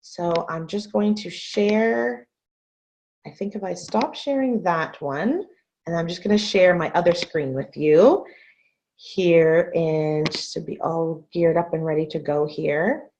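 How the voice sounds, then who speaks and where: A woman in her thirties from Canada giving an earnest, measured webinar talk as a guest speaker over a video call. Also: on the low side, darkish tone, a little hiss or hum. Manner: earnest, measured, repeats phrases for emphasis. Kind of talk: webinar presentation. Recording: muffled video call audio.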